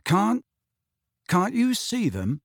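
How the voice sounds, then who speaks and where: A man acts out an earnest teenage boy in a storybook. He is impatient and puzzled, halting over his words, with a very lively pitch. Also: vocal fry, no room sound, studio quality, full character voice. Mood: urgent, disbelieving. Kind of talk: audiobook character acting.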